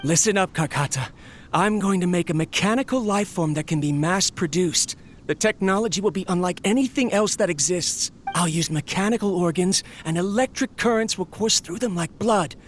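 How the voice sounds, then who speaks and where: A man's voice: high voice, normal speed, close to an everyday voice. A theatrical male inventor from a video game, played by an actor, ambitious and obsessive. He speaks grandly, like a lonely eccentric absorbed in his work. A faint layer of ambient noise sits behind the voice. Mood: visionary. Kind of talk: video game character monologue.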